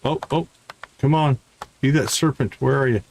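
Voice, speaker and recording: A man giving casual live video game commentary, chatting and thinking out loud. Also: mid-range voice, normal speed, vocal fry, slight background noise, low-quality recording. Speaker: gaming streamer.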